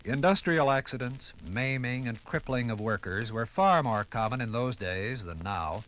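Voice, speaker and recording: Animated, formal male radio narrator. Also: mid-range voice, poor audio. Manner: formal, deliberate, dramatic phrasing. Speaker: documentary narrator. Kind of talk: 1950s radio scripted narration.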